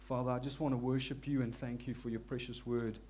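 A man with a South African accent preaching a church sermon, earnest and humble, with frequent restarts and softer, slower prayer passages. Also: medium pitch, level tone, slight background noise. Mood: prayerful. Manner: prays softly and slowly. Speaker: lay preacher.

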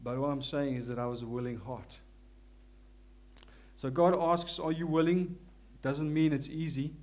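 A man with a South African accent preaching a church sermon, earnest and humble, with frequent restarts and softer, slower prayer passages. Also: fairly high pitch, fairly even intonation. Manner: prays softly and slowly. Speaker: lay preacher.

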